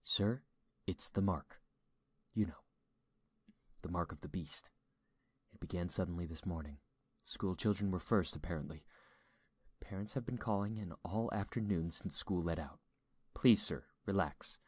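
A man's voice: deep voice, normal speed, pauses often, breathy edge, no echo. A man voicing a fearful female emergency dispatcher in an audiobook, with a shaky, hesitant, secretive manner. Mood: hushed, ominous.

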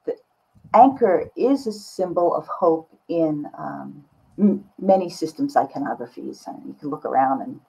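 Older woman, bright low-set voice. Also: lively intonation, moderate pace, creaky, breathy edge. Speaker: guest artist. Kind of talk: casual reflective interview talk. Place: book-lined home studio room, indoors. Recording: slightly dull video-call audio.

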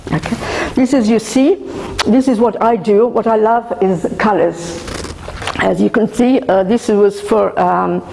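An older woman, an artist talking casually at a gallery opening, halting with many fillers and restarts. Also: mid-range voice, gravelly, a little mellow, roomy sound, a little hiss or hum, low-quality recording. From Australia. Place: indoor gallery room.

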